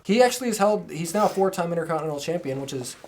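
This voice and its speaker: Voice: mid-range voice, expressive, rapid-fire, darkish tone. Casual podcast co-host. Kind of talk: casual wrestling fan chat.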